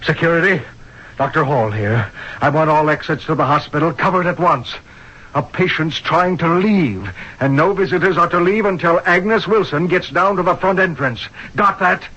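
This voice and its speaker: Husky, urgent hospital doctor. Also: male voice, a little high, normal speed, pushed and bright. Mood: urgent, indignant. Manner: authoritative, emphatic. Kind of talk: 1970s radio drama acting. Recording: muffled vintage radio broadcast.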